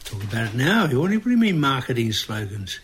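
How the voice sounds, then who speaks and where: A man's voice: medium pitch, sing-song, fast, a bit bright, a little hiss or hum. An Australian man co-hosting a podcast in casual chat, with a teasing, opinionated, joking manner. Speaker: podcast co-host.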